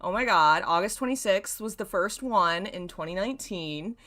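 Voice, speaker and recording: A woman's voice: mid-range voice, lively intonation, normal speed, a bit bright. A woman hosting a casual livestream, talking in a rambling way and often interrupting herself. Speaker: livestream host. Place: indoors in a dim room.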